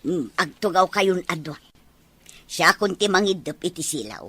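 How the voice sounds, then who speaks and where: A woman playing a caring, middle-aged mother in an Ilocano audio drama, fussing and expressive. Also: fairly low pitch, unhurried, husky, dry recording, exaggerated voice. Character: caring, lively mother of a grown daughter.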